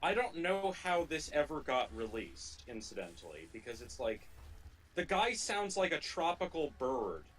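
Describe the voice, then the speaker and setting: A man co-hosting a gaming livestream, chatting casually in a rambling, halting way that often circles back and repeats phrases. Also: fairly high pitch, very animated, fairly bright tone, breathy edge, poor audio. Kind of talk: casual chat during gameplay.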